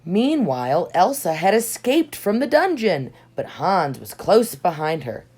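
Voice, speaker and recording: A woman reading a children's storybook aloud in expressive narration, with dramatic emphasis on key words. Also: lowish voice, measured pace, slightly rough. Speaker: storybook reader. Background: soft music.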